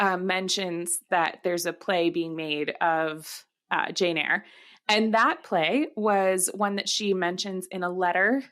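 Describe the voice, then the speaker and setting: A woman co-hosting a casual discussion podcast, often pausing and searching for her words as she explains. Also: lowish voice, fairly bright tone. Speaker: podcast co-host. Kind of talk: casual book-adaptation discussion.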